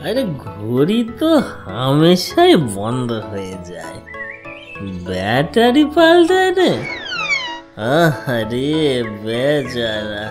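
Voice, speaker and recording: A woman's voice: rumbling low, wildly swooping pitch, slow, music in the background, full character voice. A woman voicing a dim, cheerful drunk bachelor in a cartoon comedy, naive and sing-song. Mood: naively puzzled.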